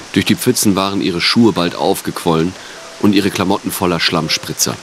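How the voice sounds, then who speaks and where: A man's voice: low voice, fairly bright tone, slight background noise. A man reading scripted narration for an audio drama.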